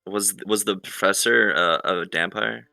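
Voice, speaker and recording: A man's voice: sits low, unhurried, gravelly, noisy background. A man chatting casually as a player in a tabletop roleplaying game. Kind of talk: casual chat.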